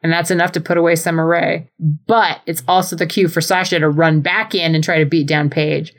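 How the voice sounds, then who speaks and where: A woman's voice: low-pitched, little pitch movement, brisk. A woman co-hosting a podcast, giving a casual, comedic recap with playful, wordy descriptions. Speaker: podcast co-host.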